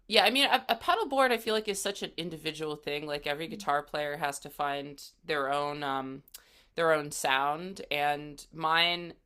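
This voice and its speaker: A woman's voice: low voice, pushed and bright, smooth. An American woman, around her thirties, chatting and explaining things casually as an interview guest. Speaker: interview guest, guitarist and songwriter.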